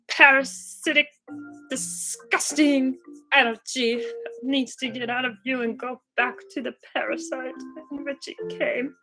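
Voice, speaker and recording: A woman's voice: high-pitched, low-quality recording. A woman teaching a live online qigong class, playful and chatty, counting aloud and sometimes dropping into a whisper. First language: Hebrew. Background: background music.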